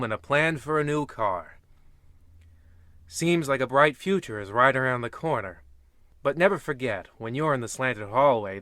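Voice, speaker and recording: A bright, forceful male voice of middle pitch, close and dry, acted by a man as a spooky radio narrator. He is pompous and ominous, with an awkward tongue-in-cheek edge. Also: dry recording, natural voice. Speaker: narrator and host.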